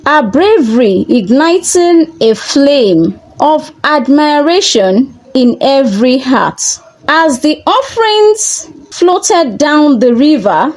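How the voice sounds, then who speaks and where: A woman narrating a scripted folktale as a storyteller, in deliberate, measured short phrases. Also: high voice, darkish tone, rough recording.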